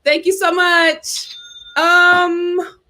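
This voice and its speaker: A woman hosting a casual reality TV chat, reading out viewer comments in an animated, emphatic way. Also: very high voice, extremely slow. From New York. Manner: animated and emphatic, lots of "you know". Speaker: host of a reality TV chat channel.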